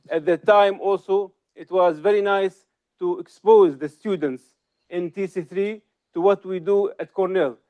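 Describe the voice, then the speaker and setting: A middle-aged man from Syria, giving an academic seminar lecture in a halting way with many hesitations and restarts. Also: sits high, smooth. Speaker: research associate giving a seminar. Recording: slightly dull lo-fi recording.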